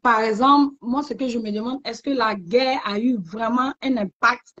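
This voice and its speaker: A woman's voice: fairly high pitch, normal speed, a bit bright, no room sound. A woman hosting an informal livestream interview. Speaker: host, interviewer. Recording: dull, lo-fi, dry online audio.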